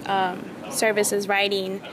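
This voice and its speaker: A woman's voice: mid-range voice, completely flat delivery, slow, noisy background. A young woman from Mexico with a Mexican Spanish accent, a native Spanish speaker, earnestly answering interview questions as a student. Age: young adult.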